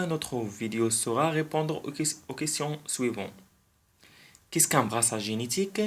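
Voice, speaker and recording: A man explaining a biology topic at a measured, deliberate pace. French is not his first language. He repeats his key terms so the point sinks in. His voice sits at an ordinary middle pitch. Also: a bit bright. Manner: careful, repeats key phrases for emphasis. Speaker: biology channel host. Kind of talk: educational explainer.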